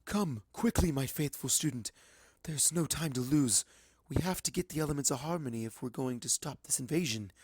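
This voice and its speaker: Man voicing a regal pony princess, pitched high. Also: moderate pace, a little mellow, slightly rough, dry recording, close to an everyday voice. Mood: urgent. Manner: stately, addressing a student. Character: regal female pony princess, urgent and commanding mentor. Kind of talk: storybook character voice.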